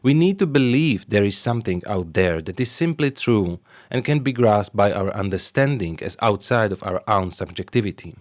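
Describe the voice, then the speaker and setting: A man with a Slovak accent talks through a scripted explainer in English, his first language being Slovak. His voice sits on the low side and is recorded close and dry, with no room sound. He reads in a measured, careful way. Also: animated, no room sound. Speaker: sociologist and marketer presenting his channel.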